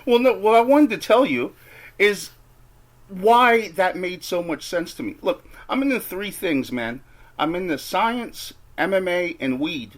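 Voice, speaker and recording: A man hosting a podcast, chatting casually with relaxed, laughing banter. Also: high-pitched, a little slow, slight background noise. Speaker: podcast host. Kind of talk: casual phone chat.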